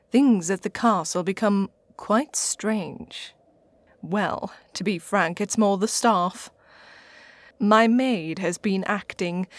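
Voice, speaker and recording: A woman's voice: medium pitch, no echo, natural voice. A woman with an English accent playing a haughty, theatrical lady witch who hosts a radio sitcom in an arch, bossy way. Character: haughty, theatrical lady witch hosting from her haunted castle. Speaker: host.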